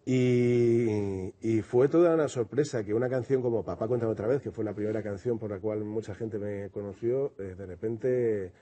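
A singer-songwriter from Madrid with a Madrid Spanish accent, talking reflectively in an interview and often restarting and repeating words. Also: male voice, on the low side, normal speed, hardly any pauses, dark tone. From Madrid, Spain. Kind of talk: relaxed interview conversation.

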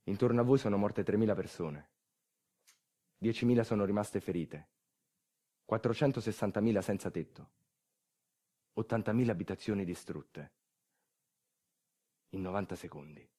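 A man delivering a dramatic monologue as a storyteller, intense and colloquial, moving between hushed and loud delivery. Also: fairly low pitch, moderate pace, long pauses, slightly rough. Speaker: stage storyteller. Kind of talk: dramatic narrative theatre monologue.

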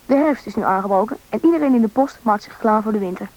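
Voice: medium pitch, lively intonation, quick pace, darkish tone, close to an everyday voice. A performer playing a young boy who narrates his own story in a scripted radio drama. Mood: reflective. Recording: dull, band-limited radio recording.

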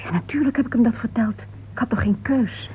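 A woman's voice: on the high side, a little fast, close to an everyday voice. A woman playing a composed but defensive female suspect in a Dutch radio play, answering in a clipped, formal, resigned way. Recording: old radio recording, narrow tinny band, hiss.

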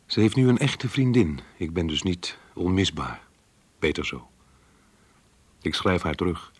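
A man's voice: low voice, expressive, measured pace, slightly rough, heavily acted. A man playing a resigned, tender schoolteacher in a radio play, musing in a wistful, reflective way. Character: melancholy, resigned, tender schoolteacher secretly devoted to a girl. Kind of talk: radio drama monologue.

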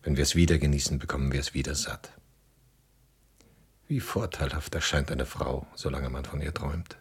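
An actor playing a brooding, world-weary wandering hero in a theatrical radio drama, torn by longing. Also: male voice, extremely low pitch, moderate pace, breathy edge, exaggerated voice. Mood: wistful, cynical. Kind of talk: theatrical radio play monologue and dialogue.

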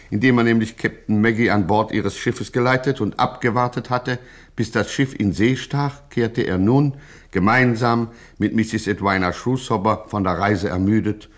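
An actor narrating a satirical radio play in ornate, scripted, mock-heroic prose. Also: male voice, lowish voice, lightly acted.

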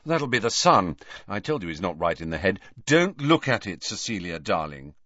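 A man voicing a well-to-do young gentleman in a storybook reading, breezy and dismissive. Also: low voice, sing-song, moderate pace, no echo, muffled recording, heavily acted. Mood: disdainful.

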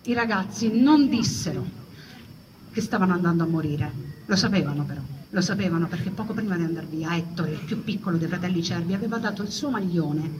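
A woman's voice: lowish voice, a little fast, lo-fi sound. Distant, noisy recording of a woman storyteller. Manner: dramatic delivery with repeated, building phrases. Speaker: stage storyteller performing a monologue. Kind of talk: theatrical narrative storytelling.

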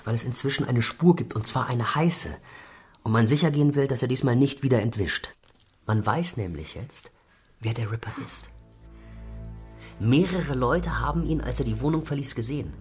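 A man's voice: mid-range voice, moderate pace, soft background music, close to an everyday voice. An actor playing an eager young policeman in a radio play, speaking in an earnest, confiding way. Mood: earnest, urgent. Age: young adult.

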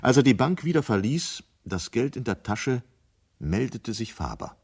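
An actor narrating a 1970 radio play as a sober, measured storyteller. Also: male voice, medium pitch, very animated, gravelly, a little mellow, breathy edge, exaggerated voice.

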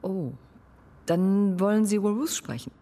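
Creaky, mellow woman answering the door. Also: mid-range voice, lively intonation, measured pace. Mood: hesitant, polite. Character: woman answering the door of a mourning household, hesitant. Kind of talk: naturalistic radio drama acting.

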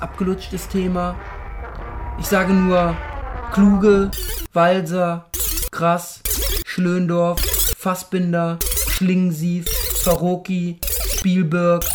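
A man playing a dismissive, sarcastic culture critic in a radio drama, speaking in rhetorical jabs. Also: high-pitched. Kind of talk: scripted radio feature discussion.

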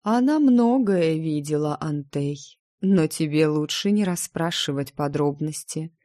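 A woman reading a storybook, voicing a reserved, sad, knowing ghost woman who speaks in a slow, measured, withholding way. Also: sits low, lively intonation, dark tone, dry recording, clean studio sound. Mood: firm.

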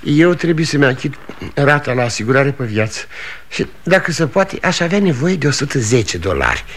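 A man's voice: medium pitch, big pitch swings, fairly bright tone. An actor playing an ageing, proud, desperate travelling salesman in a 1960 Romanian radio drama, pleading insistently and repeating himself. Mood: weary, ashamed. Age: older. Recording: vintage 1960 radio studio recording.

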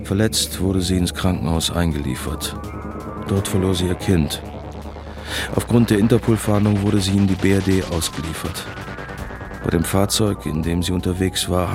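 A man playing a sober first-person narrator in a German radio drama, measured and matter-of-fact. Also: sits low, creaky. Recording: music behind the voice.

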